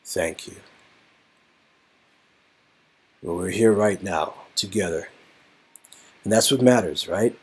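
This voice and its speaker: Man playing an earnest, deep-voiced young romantic lead. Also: a little slow, darkish tone, husky. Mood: earnest, tender. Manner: encouraging, playful, emphatic. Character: earnest, teasing young man comforting his love interest.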